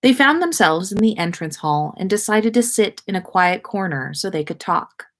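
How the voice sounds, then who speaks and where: A woman's voice: medium pitch, lively intonation, normal speed. A woman narrating a story aloud as a podcast host reading for listeners.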